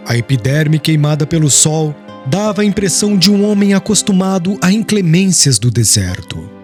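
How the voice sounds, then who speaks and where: A man reading an audiobook in measured, solemn scripted narration. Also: a little high.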